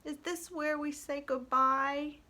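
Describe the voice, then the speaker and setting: A woman's voice: high voice, steady tone, slow, pushed and bright, pitched above the performer's own voice, full character voice. A woman voicing an affectionate, wistful young male goose in a children's storybook. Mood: wistful, tender.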